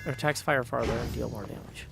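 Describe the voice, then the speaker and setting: A man's voice: medium pitch, quick pace, vocal fry, music in the background. A man casually commentating on a game as a livestreamer, thinking aloud and reading bits of on-screen text.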